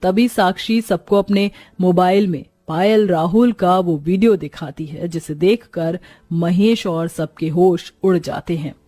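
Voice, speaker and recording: A woman's voice: lowish voice, a little slow, mellow, no echo, soft background music. A woman narrating a moral story in a scripted storytelling style.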